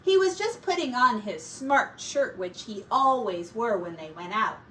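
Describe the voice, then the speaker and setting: Lady teacher reading a kids story, slow n really animated, bit far from the mic. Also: on the high side. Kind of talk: expressive children's story narration. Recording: distant mic with faint room noise.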